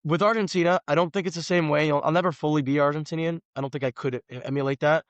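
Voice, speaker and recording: A man's voice: fairly high pitch, steady tone, fast talker, dry recording, lo-fi sound. A man in his twenties from the United States, a podcast guest talking casually in an emphatic, rambling way full of "like" fillers. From United States, Los Angeles. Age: 20s. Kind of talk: casual conversation.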